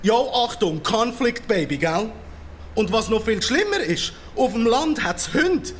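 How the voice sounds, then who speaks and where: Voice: medium pitch, sing-song, measured pace, slight background noise. A performer voicing a cocky, boastful city cockroach in a children's radio play, talking swaggering hip-hop slang with a Swiss German accent. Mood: alarmed, defensive. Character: cocky streetwise city cockroach, slangy braggart, easily riled.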